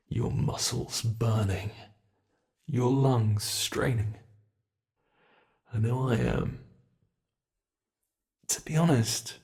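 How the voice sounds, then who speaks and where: A British man doing a gravelly taunting bed monster. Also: medium pitch, darkish tone. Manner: slow, taunting, menacing, drifting into whispers. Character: sadistic male monster that hunts from under the bed. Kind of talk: ASMR horror roleplay.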